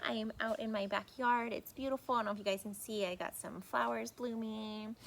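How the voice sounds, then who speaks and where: High-voiced woman talking to young children. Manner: addresses young children directly. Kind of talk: casual chat and expressive children's read-aloud. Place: outdoors in a backyard. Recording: some background noise outdoors.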